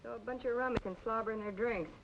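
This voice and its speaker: A woman's voice: a little high, steady tone, fast talker, vocal fry, background noise. A woman playing a tough but kindly, world-weary nightclub worker, blunt and slangy, in old film noir style. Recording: dull vintage film audio, music behind.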